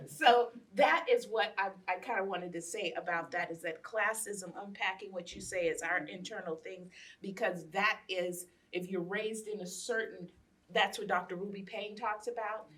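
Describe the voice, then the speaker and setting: Bright-voiced, emphatic American woman in her fifties. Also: medium pitch, distant mic. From Los Angeles, United States. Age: late 50s. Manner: emphatic, repeats phrases for effect, plain-spoken. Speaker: guest speaker, educator. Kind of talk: impassioned panel discussion.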